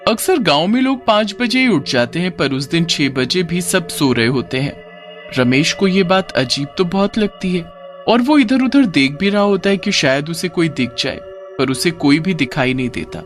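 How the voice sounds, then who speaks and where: Lively higher-pitched male story narrator. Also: normal speed, faint music behind. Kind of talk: scripted dramatic moral-story narration.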